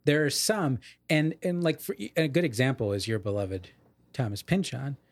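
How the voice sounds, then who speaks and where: Man, medium pitch, erudite, explanatory, careful qualifications, conversational podcast discussion, close dry mic. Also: normal speed, no room sound. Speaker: podcast co-host explaining literature. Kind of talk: casual literary discussion.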